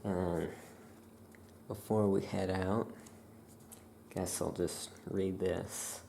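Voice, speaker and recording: A soft-spoken ASMR roleplayer talking gently and in a hushed, unhurried way as a witch shopkeeper. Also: low-pitched, flat delivery, creaky, a little hiss or hum.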